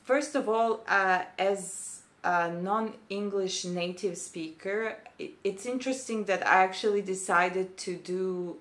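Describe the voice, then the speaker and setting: A woman's voice: medium pitch, takes it slowly, few pauses. A young woman with a Serbian accent, talking casually and hesitantly in a vlog, with many fillers. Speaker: booktuber.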